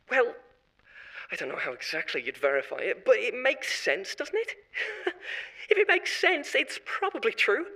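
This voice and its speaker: A man's voice: sits high, sharp tone, full character voice, low-quality recording. A man playing a smug corporate insider. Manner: chatty and self-satisfied, laughs at his own jokes. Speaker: former corporate consultant. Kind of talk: audio drama monologue.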